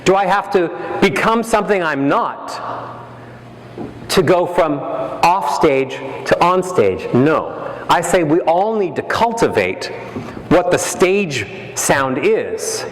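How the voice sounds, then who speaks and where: A man giving a motivational keynote as a voice coach, animated and playful, acting out examples with his voice. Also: fairly high pitch, slow. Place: large hall. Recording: echoey hall, faint ambience.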